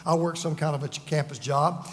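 A man's voice: a little high, steady tone, a little fast. A man over fifty preaching a sermon, earnest and emphatic, telling personal stories with self-deprecating humor. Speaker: pastor. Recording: long reverb of a large hall.

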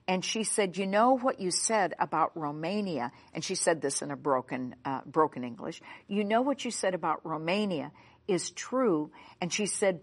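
A middle-aged woman from the United States, a Bible teacher telling a story in a sermon. She speaks emphatically and acts out the dialogue. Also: lowish voice.

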